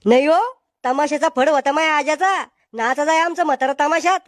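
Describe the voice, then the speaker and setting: A blunt, sarcastic village father from a comic cartoon skit, acted out by a man. The voice is artificially pitched up and sits high. He speaks with a rural Maharashtra Marathi accent, in a dry recording without room sound. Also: a little fast, no room sound, faint music behind. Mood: matter-of-fact.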